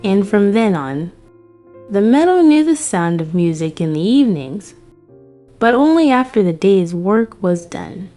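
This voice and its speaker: Slow-paced woman storyteller. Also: medium pitch, big pitch swings, mellow, faint music behind. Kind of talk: scripted children's fairy tale narration.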